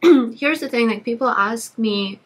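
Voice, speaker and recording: A woman's voice: a little high, measured pace, slightly rough. A woman hosting a casual podcast about yoga, talking emphatically and laughing easily. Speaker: podcast host, yoga teacher.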